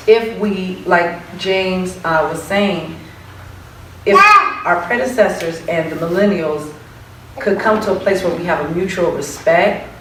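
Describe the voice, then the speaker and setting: A young woman with a lowish, big voice gives an earnest panel answer, pausing on fillers as she builds her point. She is heard from a distance in a strongly echoing hall. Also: a little hiss or hum. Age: young adult. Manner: earnest, many fillers and restarts. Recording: distant echoey hall recording.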